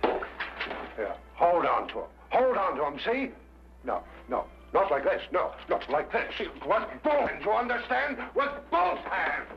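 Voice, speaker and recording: An exasperated Victorian police inspector, indignant and blustering. His voice is gravelly and swings widely in pitch. The old detective drama recording sounds dull. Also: big pitch swings, measured pace, roomy sound, faint music behind, exaggerated voice. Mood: bossy. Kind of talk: vintage detective drama acting.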